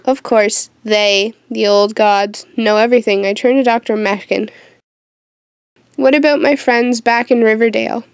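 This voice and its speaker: A woman's voice: a little high, fairly even intonation, measured pace, smooth, no echo, studio quality. A woman playing a frightened teenage witch who tells her own story in a dramatic, youthful way for an audio drama. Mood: uneasy wonder. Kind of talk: first-person narration in an audio drama.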